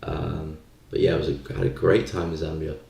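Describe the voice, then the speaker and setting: A man's voice: extremely low pitch, completely flat delivery, fairly quick, vocal fry, a little mellow. A man hosting a live stream, giving casual market commentary and thinking aloud in a hesitant way. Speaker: live stream trading host. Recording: roomy, echoey sound.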